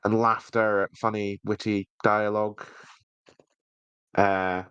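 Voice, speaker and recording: A man's voice: lowish voice, little pitch movement, takes it slowly, a bit bright, clean tone, no room sound, muffled recording. A man hosting a podcast, chatting casually and enthusiastically through a review with hesitant phrasing. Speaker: podcast host reviewing audio drama.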